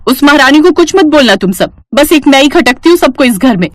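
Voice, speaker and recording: High-pitched, whiny young woman, bratty, scolding, sarcastic, sharp-tongued family-story character, acted by a female narrator, dry sound. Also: animated, a little fast, no echo. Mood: defiant, whiny. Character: short, sharp-tongued, bratty young sister-in-law, quick to snap.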